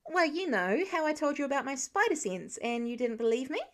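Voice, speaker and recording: Woman playing a chatty teen boy hero, high-pitched, eager, awkward, bouncy intonation, story reading. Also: sing-song, fairly quick, a bit bright. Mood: nervous, eager. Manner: rambling, eager, youthful.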